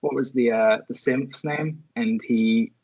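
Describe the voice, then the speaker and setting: A man with a mid-range voice chats as a podcast panellist in a dry, echo-free recording. He speaks haltingly, pausing to find the words he wants. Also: mid-range voice, fairly even intonation, a little mellow. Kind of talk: casual chat.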